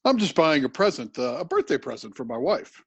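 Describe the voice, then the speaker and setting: A man's voice: fairly high pitch, big pitch swings, fairly quick, a bit bright, slightly rough, lo-fi sound, full character voice. A man reading the part of a flustered young male English teacher caught off guard.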